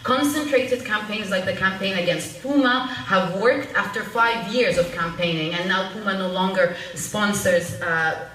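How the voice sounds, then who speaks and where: A woman giving an earnest, urgent public talk as a panel speaker, often pausing to find her words. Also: mid-range voice, a bit bright. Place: public meeting room at a panel event. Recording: echoey room from far off, faint noise.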